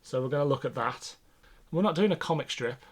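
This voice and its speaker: A man's voice: medium pitch, animated, a little fast. A man co-hosting a podcast in casual conversation, informal and thinking aloud as he goes. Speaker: podcast host. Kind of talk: casual discussion.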